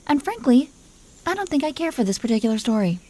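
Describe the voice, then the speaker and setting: A woman's voice: high-pitched, very animated, fast talker, darkish tone. A woman playing a possessive, teasing dragon queen in an intimate roleplay audio, commanding yet doting toward her husband. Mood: wounded, indignant. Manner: playful, commanding, mock-sweet.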